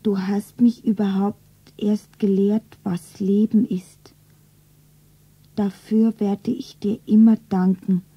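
An actress playing a grieving, tearful young shop girl in a 1970s radio drama, breathy, theatrical and often whispering. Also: medium pitch, flat delivery, no echo. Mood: tearful, tender. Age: young adult. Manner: slow, theatrical, self-narrating. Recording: dull radio play recording.

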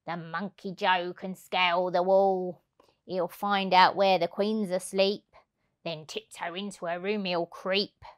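A woman's voice: fairly low pitch, little pitch movement, slow pace. A woman voicing a gleeful, scheming male burglar from a children's storybook, with a conspiratorial manner. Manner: conspiratorial, relishing the plan.

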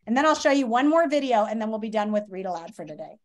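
A woman in her fifties reads aloud to children in a high voice. Her delivery swings up and down with dramatic expression, and she breaks off for teacherly asides and questions. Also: expressive, quick pace. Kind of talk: animated read-aloud of a nonfiction children's book with asides. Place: small room at home.

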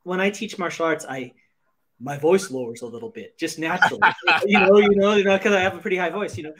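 A man's voice: high voice, fast talker, a loud crowd. A middle-aged man co-hosting an interview over a video call from home, chatting casually and eagerly, often repeating words as he goes. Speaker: co-host, interviewer. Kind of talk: casual enthusiastic chat. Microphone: video call mic.

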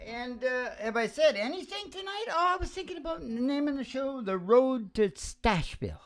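An older man hosts a small live radio show from a stand mic. His pitch swings widely as he rambles along in playful, folksy banter. The delivery is casual and loose. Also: very animated, moderate pace, fairly bright tone, faint music behind. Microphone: stand microphone.

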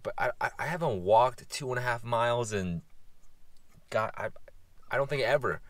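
A young man chatting casually to camera as a camping vlogger, joking in a deadpan, mock-grumbling way. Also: medium pitch, normal speed, no echo. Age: young adult. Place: outdoors at campsite and trail, also inside parked van. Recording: outdoor and in-car ambient noise.

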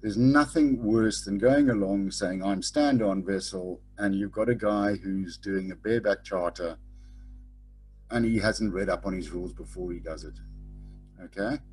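A man's voice: sits low, normal speed, a little mellow, faint music behind. A middle-aged man teaching a sailing lecture over a webcam, blunt and informal, tagging his points with "okay?". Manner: frequent "okay?" tag questions. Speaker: sailing instructor. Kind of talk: online lecture. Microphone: webcam over video call.

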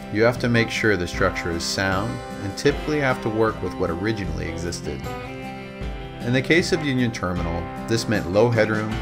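A man reading a scripted corporate voice-over with a steady, measured delivery. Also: sits low. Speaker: narrator. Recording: voice-over with music bed.

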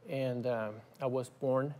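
A middle-aged man from Argentina, a guest musician, talking in a halting, casual way with fillers and restarts in a TV studio on a lapel mic. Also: medium pitch, fairly even intonation, dark tone. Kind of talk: casual interview talk. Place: TV studio set.

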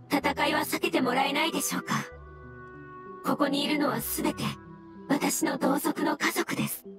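A woman voicing a gentle, solemn mushroom spirit in an anime game, speaking formally and with earnest courtesy. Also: sits high, lightly acted. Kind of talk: Japanese anime game voice acting. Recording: game music behind.

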